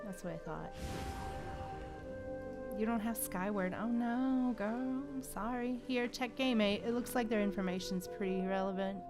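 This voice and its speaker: A woman's voice: mid-range voice, normal speed. A woman hosting a gaming livestream, chatting casually and informally with her viewers, sometimes swearing. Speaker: livestream host playing a video game. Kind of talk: casual gaming stream chat. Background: game music.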